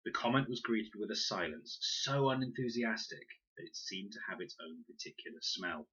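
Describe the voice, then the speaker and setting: A man's voice: on the low side, lively intonation, moderate pace, sharp tone, husky. A man narrating a children's adventure audiobook expressively, on a dull, lo-fi recording. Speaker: reader, narrator.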